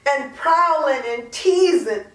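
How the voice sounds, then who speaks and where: A woman performing a dramatic monologue as an anguished, guilt-ridden mother pleading to God, impassioned and drawn-out. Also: high voice, animated, voice pushed up. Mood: anguished confession. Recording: roomy echo, distant microphone.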